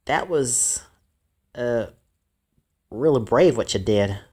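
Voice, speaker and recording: A man's voice: mid-range voice, animated, takes it slowly, a little mellow, breathy edge. A man playing an awkward, earnest teenage boy who talks hesitantly in an amateur audio drama. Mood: hesitant, gentle.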